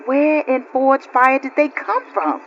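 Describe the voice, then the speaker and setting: A woman's voice: high-pitched, animated, measured pace, a bit bright. A woman playing a hardy, plain-spoken quarry crew boss in a video game, with a folksy, colloquial delivery. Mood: frustrated. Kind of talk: cinematic video game acting. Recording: dull lo-fi audio with faint noise.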